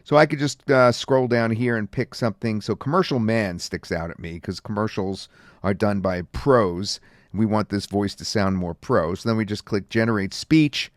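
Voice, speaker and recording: A man reviewing and demonstrating software in a casual, rambling way with many fillers. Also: fairly low pitch, moderate pace, dry recording, clean studio sound. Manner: casual, filler-heavy talk. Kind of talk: screen-recorded software demo.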